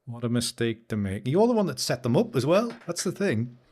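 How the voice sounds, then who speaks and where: A man's voice: medium pitch, sing-song, a little fast, darkish tone. Chuckling man streaming live. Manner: rambling, chuckling, answers chat comments. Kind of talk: casual live-stream chat while photo editing. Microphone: new studio microphone.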